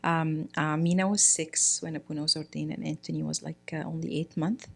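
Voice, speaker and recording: A middle-aged woman from Egypt talks in a relaxed interview. Her voice sits fairly low, and she keeps stopping to search for words with lots of "uh" and "you know." She speaks plainly, in an unhurried, conversational way. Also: vocal fry. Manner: hesitant, many fillers and restarts. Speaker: guest, priest's wife.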